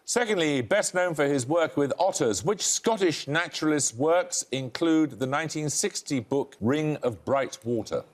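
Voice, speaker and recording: A man's voice: a little high, lively intonation, normal speed, hardly any pauses. A man hosting a televised quiz, reading introductions and questions with a dry, wry delivery in a studio. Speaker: quiz show host. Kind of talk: scripted quiz presenting.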